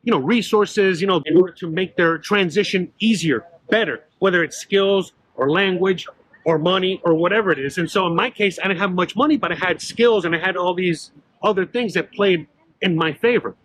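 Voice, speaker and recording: A man's voice: sits high, fairly quick, fairly bright tone, low-quality recording. A middle-aged man from Miami telling his story in a casual walk-and-talk interview, full of fillers and restarts, recorded outdoors on a city street. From Miami, Florida. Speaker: YouTuber guest.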